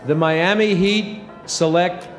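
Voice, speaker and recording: A man's voice: high voice, steady tone, slow pace. Male announcer over music. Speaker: draft announcer. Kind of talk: formal public announcement.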